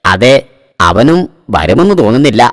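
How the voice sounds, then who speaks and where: A man's voice: mid-range voice, big pitch swings, moderate pace, close to an everyday voice. A man voicing a sly, grumbling shop owner in a cartoon, with long echo on the recording. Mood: evasive, sly. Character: grumbling, sly adult male shop owner, evasive boss. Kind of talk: cartoon voice acting.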